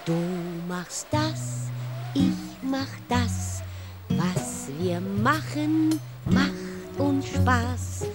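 Loud guitar music runs behind a very slow, fairly high voice. It is a tiny granny from a children's radio play, playful and cheerful, drifting into sing-song rhymes. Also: female voice, lively intonation, full character voice. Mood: cheerful, comforting. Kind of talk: children's radio drama acting. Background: plucked guitar music.

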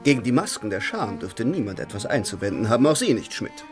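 An actor playing an urbane older philosopher hosting guests, solemn and ceremonious. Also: male voice, fairly low pitch, very animated, quick pace. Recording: cello music underneath.

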